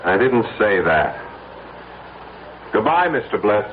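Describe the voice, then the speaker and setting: An American actor playing an uneasy, reluctant blackmail victim in a vintage radio drama, wary and protesting. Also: male voice, fairly low pitch, sing-song, slow pace. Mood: firm, uneasy. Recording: narrow, noisy vintage radio recording.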